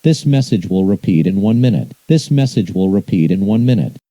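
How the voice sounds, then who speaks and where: A man reading a scripted emergency broadcast announcement in a flat, formal, measured, synthetic-sounding manner. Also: fairly low pitch, noisy background.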